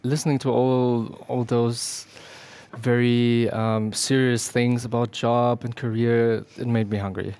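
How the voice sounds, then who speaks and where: A man's voice: medium pitch, little pitch movement, a little slow, a little mellow, clear, resonant tone. A young man co-hosting a live studio show with casual banter.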